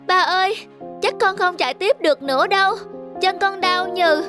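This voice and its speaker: Earnest, high-voiced small boy. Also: normal speed, over music. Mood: exhausted. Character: eager, affectionate small boy, easily tired. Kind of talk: cartoon voice acting.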